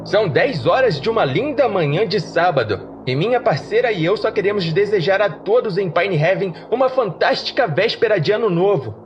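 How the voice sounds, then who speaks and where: Homem fazendo locutor de rádio animado, voz mais aguda. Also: male voice, high voice, expressive, moderate pace, hardly any pauses, muffled recording, music in the background, pitched above the performer's own voice. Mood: upbeat, announcing. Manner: bright announcer delivery on air. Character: upbeat, teasing male small-town radio co-host.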